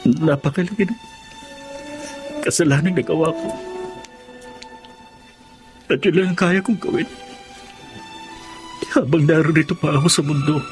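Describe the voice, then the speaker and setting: A man's voice: a little high, a little slow, pauses often, natural voice. An actor playing a young man full of remorse in a radio drama, confessing earnestly and begging for forgiveness. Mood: remorseful. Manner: earnest, guilt-laden confession. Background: music.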